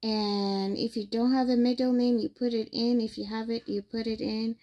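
A woman's voice: on the high side, flat delivery, normal speed, warm tone. A young adult woman from Ghana, hosting a screen-recorded phone tutorial and explaining each step patiently. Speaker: tutorial host.

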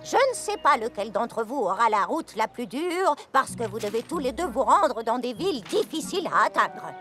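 A woman's voice: a little high, very animated, moderate pace, bright, forceful tone, soft background music. A woman voicing an elderly, fussy grandmother pony in a cartoon, folksy and admonishing. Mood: fussy, caring. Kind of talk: animated series voice acting.

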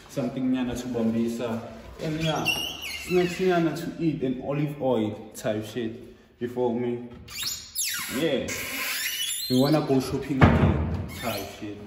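A young man giving a casual house tour for his vlog, with laid-back slangy talk and catchphrases repeated over and over. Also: mid-range voice. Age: young adult. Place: large bare-walled house, indoors. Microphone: phone camera held by someone else, from a distance. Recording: echoey empty rooms, voice from far off, noisy.

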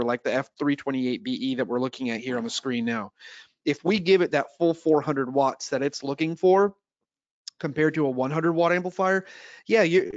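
A man's voice: fairly high pitch, no echo, muffled recording. A man presenting in an explanatory webinar style, teaching step by step and checking in as he goes. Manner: didactic, checks understanding with "right?". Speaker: audio trainer and presenter. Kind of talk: explanatory product webinar talk.

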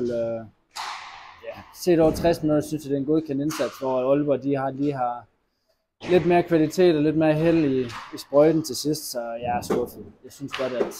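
A young man, a hockey player, answering post-match interview questions in a halting, disappointed way with many fillers and restarts, recorded indoors at an arena press wall. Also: fairly high pitch, noisy background. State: disappointed after a loss.

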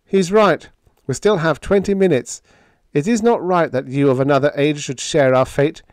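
A man voicing an earnest, excitable young male spaceship lieutenant with a formal, emphatic delivery in a pulp science fiction story. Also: on the high side, moderate pace, no room sound, clean studio sound, voice pushed up, lightly acted. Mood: urgent, earnest.

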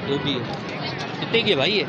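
A man's voice: fairly high pitch, expressive, fairly quick, over a noisy crowd. A man from Pakistan vlogging casually as he walks an outdoor street market, asking stall holders about prices and chatting to viewers. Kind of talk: casual market walk-and-talk. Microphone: phone camera with clip-on mic. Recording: loud street market noise.

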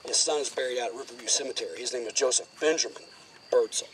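A man's voice: mid-range voice, fast talker, a bit bright, slight background noise, low-quality recording. Man guiding an outdoor cemetery tour. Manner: casual, explanatory, addresses the group directly. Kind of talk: outdoor walking tour talk. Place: outdoor cemetery, in a small open space. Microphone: far camera, no mic.

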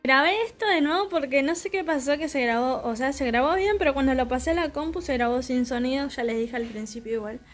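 A woman's voice: sits high, fast talker, few pauses, soft background music. Chatty young woman. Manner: chatty, meandering, many fillers. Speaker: vlogger. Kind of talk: casual home tour vlog. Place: small home living room. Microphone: handheld phone camera.

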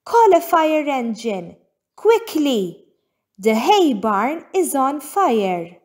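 A woman voicing an excitable, alert little farm girl in a storybook, exclaiming with urgency. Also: high voice, very slow, voice pushed up, full character voice. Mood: panicked, urgent.

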